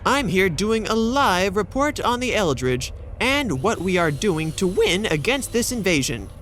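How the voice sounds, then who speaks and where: A man's voice: sits high, big pitch swings, measured pace, fairly bright tone, a little hiss or hum, exaggerated voice. A man playing a timid, polite news reporter in an audio drama, hesitant and earnest.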